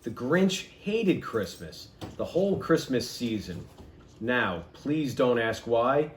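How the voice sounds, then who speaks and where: A man reading a children's storybook aloud in an expressive, emphatic, theatrical manner. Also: mid-range voice, slow, slight background noise.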